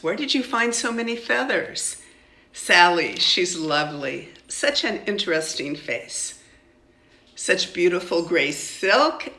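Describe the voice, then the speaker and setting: An older woman acting out a storybook schoolteacher, a woman who is firm but kindly. She speaks slowly and emphatically, in a bright voice with some room echo. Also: on the low side, heavily acted.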